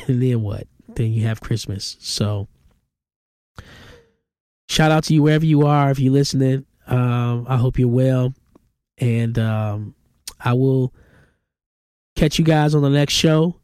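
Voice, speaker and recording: A man's voice: mid-range voice, dark tone, dry recording, studio quality. A congested man in his forties hosting a solo podcast, giving emphatic, opinionated commentary. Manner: opinionated, emphatic, rising to exclamations. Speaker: podcast host.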